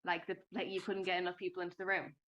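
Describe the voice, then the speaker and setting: A woman's voice: on the low side, completely flat delivery, rapid-fire, dull audio. A woman interviewing a guest in an enthusiastic, chatty way, full of fillers. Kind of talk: casual interview chat.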